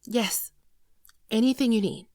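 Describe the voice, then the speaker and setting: A woman's voice: on the high side, mellow, no echo, lightly acted. Woman acting a frightened, pleading alien girlfriend, close and dry. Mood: desperate, pleading. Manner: tearful, pleading, halting, often dropping to a whisper. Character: frightened, apologetic female shapeshifting alien posing as human girlfriend. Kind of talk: intimate ASMR roleplay acting.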